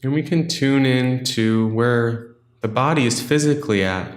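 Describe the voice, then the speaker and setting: A man leading a guided meditation in a gentle, unhurried, instructional way, leaving long pauses between phrases. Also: mid-range voice, reverberant.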